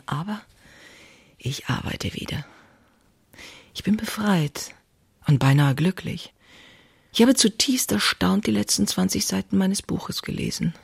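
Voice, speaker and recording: An actress playing a passionate, anxious woman writer in her thirties, reading her diary in an intimate, confessional way with a husky, breathy voice. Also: fairly low pitch, no echo, exaggerated voice. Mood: intense, wistful. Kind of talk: dramatic literary diary reading.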